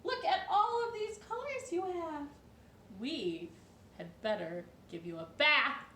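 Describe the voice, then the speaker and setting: A woman's voice: high-pitched, animated, slow pace, pushed and bright, pitched above the performer's own voice, full character voice. A woman voicing a dog's amazed, fondly scolding owner in a children's storybook, exclaiming and drawing out the words in mock astonishment. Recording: roomy, distant mic with faint noise.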